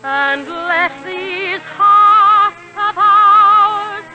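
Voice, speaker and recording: High-voiced woman singing slowly. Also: steady tone, phone-quality sound. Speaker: featured singer. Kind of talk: slow sentimental 1940s song. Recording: 1940s radio broadcast with music.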